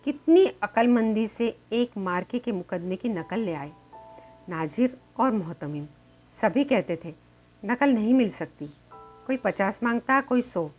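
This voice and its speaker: A woman's voice: medium pitch, animated, a little slow, a little mellow. A woman storyteller reading a scripted literary story as narration. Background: light background music.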